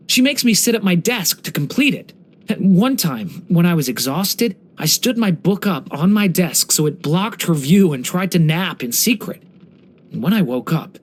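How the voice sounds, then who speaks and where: A young dragon boy in human form from a video game. He is gentle, earnest and polite, with a storyteller's manner. His pitch moves about in a lively, expressive way. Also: heavily acted. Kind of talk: English video game character voice lines.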